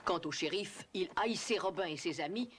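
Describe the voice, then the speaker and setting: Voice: medium pitch, normal speed, a bit bright, slight background noise. A narrator delivering scripted storytelling narration for a children's cartoon.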